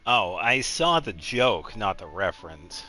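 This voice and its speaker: Bright-voiced man doing casual game commentary. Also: low-pitched, big pitch swings, a little slow, faint music behind. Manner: musing aloud, offhand. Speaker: gaming streamer.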